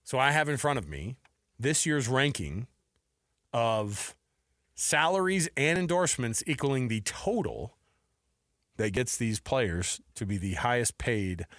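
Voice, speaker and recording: Man, sports radio co-host, casual talk, mid-pitched voice, dry close studio mic. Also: animated, unhurried, a bit bright, no echo. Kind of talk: casual sports talk, running a list quiz. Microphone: close studio radio microphone.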